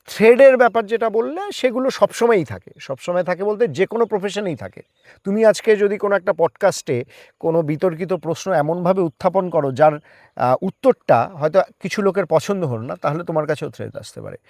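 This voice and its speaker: High-pitched man, explaining. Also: lively intonation, fairly quick, a little mellow, dry recording, clean studio sound. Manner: explanatory, mixes English words into speech. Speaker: guest, part-time journalist. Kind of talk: conversational interview answers.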